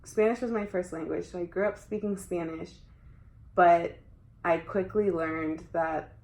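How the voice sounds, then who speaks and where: A woman's voice: lowish voice, steady tone, unhurried, darkish tone. A young adult woman talking casually about her school years, often using "like". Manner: conversational, frequent filler "like". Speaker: interviewee. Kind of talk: casual conversation. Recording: echoey room.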